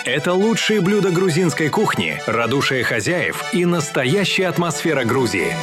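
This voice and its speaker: Animated higher-voiced male ad announcer. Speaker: advertisement announcer. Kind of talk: scripted radio commercial narration. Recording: clear music behind voice.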